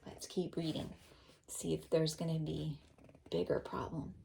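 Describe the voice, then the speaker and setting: A woman's voice: low-pitched, level tone, a little slow, vocal fry, background noise. A woman teacher reading a storybook aloud expressively, with questioning, instructive asides.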